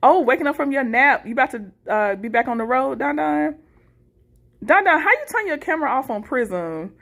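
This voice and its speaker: A woman's voice: high voice, expressive, moderate pace, vocal fry, fairly bright tone. A woman hosting a casual livestream, reading viewer comments and responding in an informal, appreciative way. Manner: informal, appreciative, chuckles between remarks. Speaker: livestream host, content creator.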